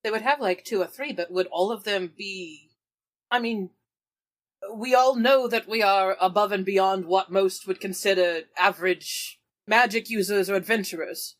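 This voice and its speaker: A woman's voice: medium pitch, normal speed. A woman playing a tabletop roleplaying game, telling events in an informal, explanatory, conversational way. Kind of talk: conversational in-character storytelling.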